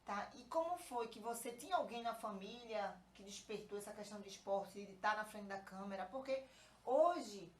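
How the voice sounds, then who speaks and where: A woman's voice: mid-range voice, normal speed. Podcast host, woman, chatty and teasing, roomy sound with faint hiss. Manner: chatty, warm-hearted, teasing. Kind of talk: casual interview chat.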